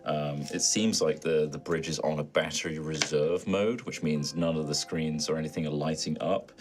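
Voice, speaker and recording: A man's voice: very deep voice, steady tone, normal speed, noisy background. A man acting as game master, narrating a tabletop roleplaying game and guiding the players.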